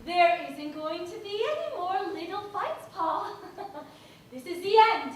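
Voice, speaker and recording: A woman's voice: very high voice, lively intonation, a little slow, heavy reverb, recorded from a distance. A woman acting an impulsive young newlywed wife on stage, tearful and laughing through her outbursts. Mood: resolute.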